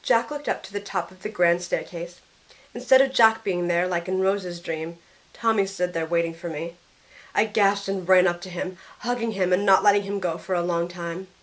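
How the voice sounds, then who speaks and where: A woman's voice: on the low side, fairly even intonation, moderate pace, lightly acted, rough recording. A woman playing a grieving, guilt-ridden young woman who tells her story in an earnest, emotional first-person audio drama. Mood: sorrowful, reflective.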